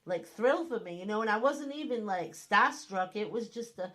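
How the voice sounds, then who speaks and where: A chatty woman hosting a radio interview, talking in a loose, rambling way with a northern US accent. Her voice sits in a middle pitch, and she often checks in with her listener. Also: lively intonation, moderate pace, fairly bright tone. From northern United States. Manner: chatty, rambling, frequent "you know what I mean". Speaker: radio show host. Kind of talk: casual interview chat.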